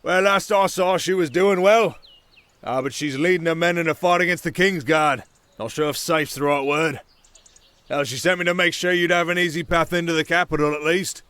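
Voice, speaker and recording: A man's voice: a little high, normal speed, a bit bright, clear, resonant tone, no echo, close to an everyday voice. A man voicing an easygoing soldier in a fantasy audio drama, wry and conversational. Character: easygoing, wry male soldier serving a rebel princess. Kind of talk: ASMR roleplay audio drama acting.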